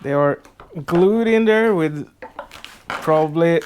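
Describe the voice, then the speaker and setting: A man with a Swedish accent who speaks English as a second language, explaining his boat repairs in a casual how-to vlog. His voice sits a bit high and he talks slowly, filling pauses with frequent uhs and restarting his sentences. He is recorded in a makeshift workshop. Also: noisy background. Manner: halting, many filler uhs and restarts. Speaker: boat restoration vlogger.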